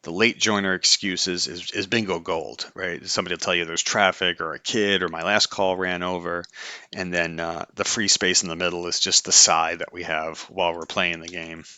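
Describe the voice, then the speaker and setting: A man's voice: low voice, flat delivery, normal speed, bright, forceful tone, no echo. A man hosting a light-hearted explainer in a conversational, informal way, with casual asides. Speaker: host. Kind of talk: light-hearted explainer, semi-scripted talk.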